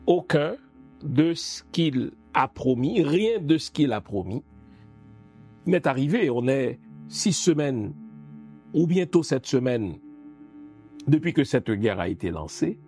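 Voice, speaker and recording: A man's voice: mid-range voice, big pitch swings, slow pace, pauses often, darkish tone, soft background music. A man from Haiti giving an emphatic political commentary, speaking straight to his listeners and asking them rhetorical questions. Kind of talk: spoken commentary.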